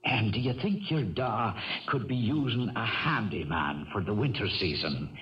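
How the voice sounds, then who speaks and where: An actor playing a poor, genial Irish stable hand full of folksy blarney in a radio drama, talking garrulously with small hesitations. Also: male voice, fairly low pitch, animated, normal speed, lightly acted. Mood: coaxing. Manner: folksy, garrulous, hesitant, lilting turns of phrase. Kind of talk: 1970s radio drama acting. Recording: dull, noisy old radio recording, distant.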